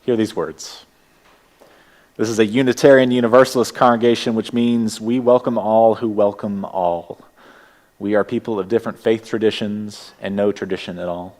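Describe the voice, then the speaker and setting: A man with a Texas accent leading a church service, welcoming people and giving opening words in a gentle, unhurried way. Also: fairly low pitch. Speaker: worship leader. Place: church sanctuary.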